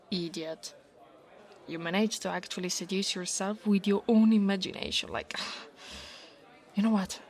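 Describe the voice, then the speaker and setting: A woman's voice: medium pitch, close to an everyday voice. A woman playing a big, domineering but insecure dragon college bully in an ASMR roleplay, with a teasing, haughty manner. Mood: smug teasing. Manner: teasing, haughty, drawn-out delivery with breathy asides.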